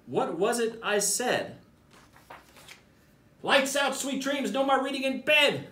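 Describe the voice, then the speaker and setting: Man voicing an exasperated mother monkey, high and emphatic. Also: lively intonation, slow, bright, forceful tone, exaggerated voice. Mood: scolding. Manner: stern, emphatic, singsong scolding. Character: weary, exasperated mother monkey scolding her young. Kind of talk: storybook character voice. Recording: roomy echo, distant mic.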